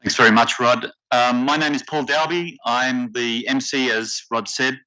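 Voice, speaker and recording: A man with an Australian accent emceeing a conference from the stage, speaking in a measured, deliberate way with occasional fillers. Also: medium pitch, fairly even intonation, pushed and bright. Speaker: workshop facilitator and emcee. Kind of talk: formal conference welcome speech. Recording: slightly dull lo-fi conference audio.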